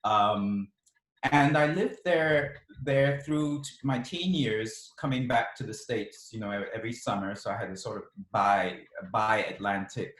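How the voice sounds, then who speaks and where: A middle-aged man with a mixed Ghanaian, British and Californian accent, telling stories as an author guest in a relaxed interview, laughing often and restarting his sentences. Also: fairly low pitch, a little slow. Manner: chatty, laughs often, many restarts and ums. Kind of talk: relaxed storytelling in a video interview. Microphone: video call. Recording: echoey room on a video call.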